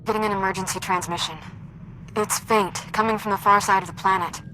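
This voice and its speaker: A woman playing a brisk, capable young Jedi apprentice in a video game cutscene. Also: mid-range voice, steady tone, sharp tone, a little hiss or hum, natural voice, poor audio.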